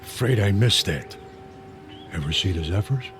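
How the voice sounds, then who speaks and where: An older actor playing a talkative former ballplayer who rambles through an old story, in a radio drama. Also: male voice, low-pitched, expressive, normal speed, over music. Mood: reminiscing.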